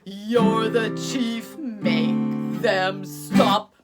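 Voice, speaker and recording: A woman voicing a grumpy, sleepless gecko in a folktale, complaining in a drawn-out sing-song. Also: medium pitch, steady tone, loud music behind the voice. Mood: whiny complaint.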